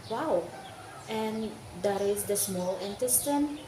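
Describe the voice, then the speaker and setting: A woman acts out a formal judge hearing testimony in amateur reader's theater. The voice is mid-pitched and questioning, ringing in an echoey room with clear noise around it. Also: animated, takes it slowly. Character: formal courtroom judge questioning body systems.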